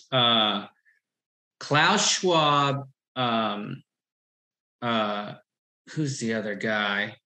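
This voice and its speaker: A man hosting a casual interview, talking in an animated, rambling way and repeating himself. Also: medium pitch. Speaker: host. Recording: roomy echo.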